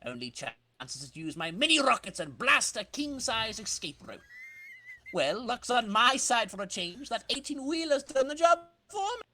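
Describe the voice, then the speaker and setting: A man's voice: very high-pitched, sing-song, normal speed, pushed and bright, slight background noise, voice pushed up, full character voice. A man voicing a cocky, scheming supervillain racer in a boastful, over-dramatic comic-book reading. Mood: scheming, exclaiming.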